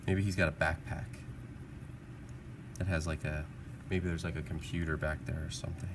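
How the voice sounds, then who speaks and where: A man's voice: extremely low pitch, level tone, moderate pace. A man giving a casual drawing tutorial, thinking aloud in an informal, encouraging, musing way as an art teacher. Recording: clearly audible background noise.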